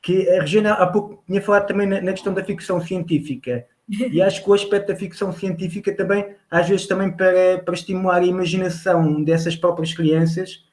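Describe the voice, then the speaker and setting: High-voiced, hesitant man from Lisbon. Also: steady tone, fast talker, a little mellow. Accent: Lisbon Portuguese. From Lisbon, Portugal. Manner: hesitant, searching for words with repetitions. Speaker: audience member commenting. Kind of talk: polite, formal spoken comment.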